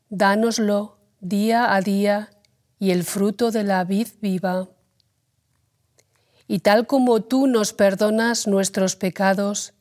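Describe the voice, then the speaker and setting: A woman reading a religious text aloud in a calm, deliberate way. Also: medium pitch. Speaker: reader.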